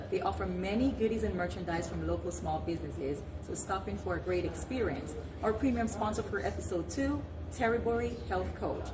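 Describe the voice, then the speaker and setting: Female talk show host, mid-pitched, presenting to listeners, reverberant room with noticeable noise. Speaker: podcast host. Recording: echoey room, clear background noise.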